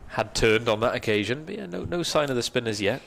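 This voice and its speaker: A man whose first language is Welsh, giving calm, measured ball-by-ball cricket commentary. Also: mid-range voice, gravelly, a little hiss or hum. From Wales.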